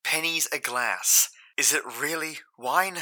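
A man's voice: a little high, takes it slowly, bright, forceful tone, no room sound. A man hosting a podcast, making wry, cheeky interjections. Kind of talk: joking interjections over an old advertisement.

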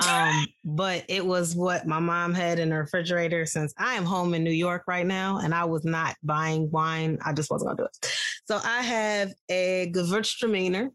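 A woman's voice: on the low side, steady tone, moderate pace, a bit bright, no echo. A woman co-hosting a podcast, bantering playfully and poking fun at her own slips. Her voice sits mid-height and sounds close and dry, with no room around it. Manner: playful, self-mocking banter. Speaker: podcast co-host. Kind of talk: casual chat.

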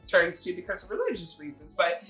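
Woman podcast host, bright voice, chatty storyteller reading stuff out, bit of music behind. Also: fairly low pitch, very animated, brisk. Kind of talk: casual chat with reading aloud of online stories.

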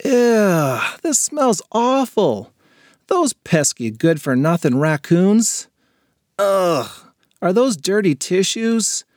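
A lazy, cheeky boy talks back with a whiny, lively lilt, as a male narrator plays him. The voice sits a touch high and is recorded dry. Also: slow, dry recording, heavily acted. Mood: disgusted.

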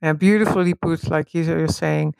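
A woman whose first language is Dutch, a guest in a relaxed spiritual conversation, talking in bursts with frequent laughter and restarts. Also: deep voice, moderate pace, gravelly, no echo. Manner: animated, laughing often, many restarts.

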